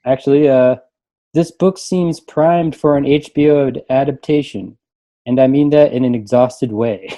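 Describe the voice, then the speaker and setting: A man reading aloud and chatting casually in a group, amused and quick to chuckle. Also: mid-range voice, dark tone. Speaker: librarian reading book reviews aloud.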